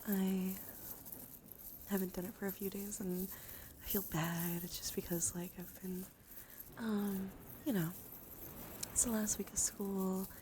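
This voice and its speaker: A woman hosting an ASMR livestream, chatting gently and soothingly to viewers in a hushed voice that often drops into whispers, slightly strained from just waking up. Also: medium pitch, level tone, unhurried, pauses often, slight background noise.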